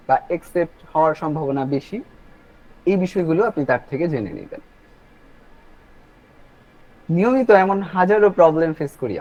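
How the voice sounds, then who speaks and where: A man's voice: high-pitched, a little mellow. A man hosting an online webinar, explaining things instructively and addressing listeners directly, in lo-fi call audio. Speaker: webinar host and presenter. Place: online video meeting.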